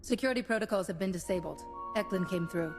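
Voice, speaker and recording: An actress playing a resolute warrior-monk heroine in a video game, composed, formal and decisive. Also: female voice, medium pitch, quick pace, music in the background, close to an everyday voice. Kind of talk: scripted game acting.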